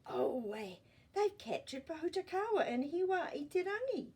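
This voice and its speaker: A woman voicing a kindly, knowing grandmother in a storybook, explaining things deliberately and expressively. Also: very high-pitched, big pitch swings, a little mellow, voice pushed up, full character voice. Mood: hushed alarm. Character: kindly, knowing grandmother telling children about fairy folk.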